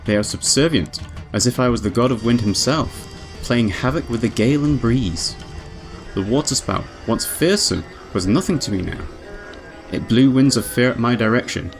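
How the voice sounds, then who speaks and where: A man's voice: medium pitch, vocal fry. A man voicing a proud young witch who narrates her tale in a grave, formal, ornate way. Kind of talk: dramatic visual novel narration. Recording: music underneath.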